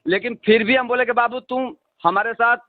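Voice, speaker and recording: Confrontational high-pitched man on phone. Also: fairly even intonation, normal speed, no room sound. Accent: Bhojpuri. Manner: confrontational, repeating himself. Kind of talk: heated phone argument. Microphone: phone line. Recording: tinny phone call recording.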